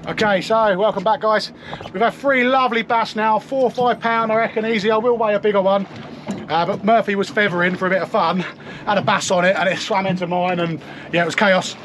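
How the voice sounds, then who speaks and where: A man's voice: sits high, brisk. A man, coaching his family and chatting to camera while fishing from a small boat at sea. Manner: encouraging, coaching, excitable. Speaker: fishing boat skipper and vlogger. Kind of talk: casual on-boat coaching and commentary. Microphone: action camera on the boat. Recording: loud wind and engine noise on deck.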